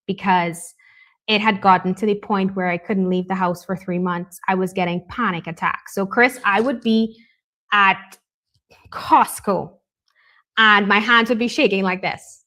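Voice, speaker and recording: A woman's voice: mid-range voice, a bit bright. Candid woman guest, reflective and earnest. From Canada. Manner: candid, reflective, frequent "right?" tags. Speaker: guest, life coach. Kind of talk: conversational interview.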